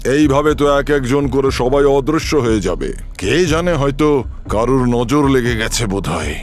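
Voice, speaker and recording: A man's voice: medium pitch, lively intonation, moderate pace, soft background music, natural voice. A man voicing a concerned village headman, grave and reassuring, in a cartoon fairy tale. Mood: troubled. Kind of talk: animated fairy tale acting.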